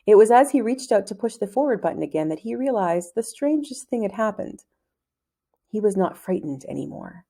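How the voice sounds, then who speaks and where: A woman reading a children's novel aloud as a storyteller, in measured, clearly phrased narration. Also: medium pitch, big pitch swings, dark tone.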